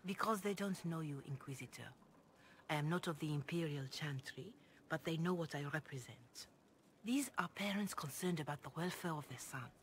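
A woman voicing a devout, compassionate older cleric in a video game, speaking in a formal, measured, earnest way. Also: deep voice, lightly acted. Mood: earnest, pleading. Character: grave, devout, compassionate older Chantry mother. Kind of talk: video game dialogue acting. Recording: clear background noise and ambience.

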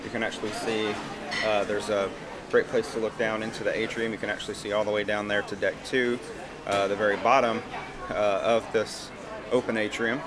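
A man narrating a walking tour of a cruise ship, explaining as he goes with frequent fillers. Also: fairly low pitch. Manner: friendly explanatory, many "uh" fillers. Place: outdoor and indoor decks of a cruise ship.

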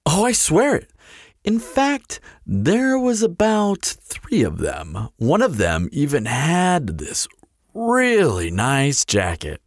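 A man's voice: fairly high pitch, wildly swooping pitch, slow pace, no echo, clean studio sound, heavily acted. A man playing a flirtatious, sardonic vampire in a roleplay audio drama, talking in a glib, teasing, playful drawl. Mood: playful, cocky. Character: flirtatious, sardonic male vampire toying with a slayer.

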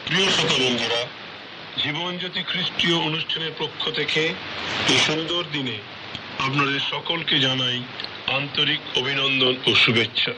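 A man's voice: a little high, moderate pace. A man presents a scripted radio programme, addressing listeners formally and courteously over a shortwave broadcast. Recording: noisy shortwave radio broadcast with heavy static. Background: loud radio hiss and noise.

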